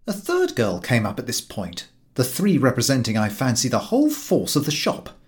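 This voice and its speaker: A man narrating a humorous story as an audiobook reader, in an expressive scripted style. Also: mid-range voice, normal speed.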